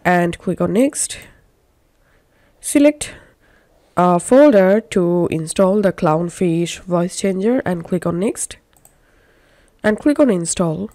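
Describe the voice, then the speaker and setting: A woman presents a software tutorial step by step. She speaks slowly and deliberately, giving plain instructions. The sound is close and dry. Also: on the low side, expressive, no room sound.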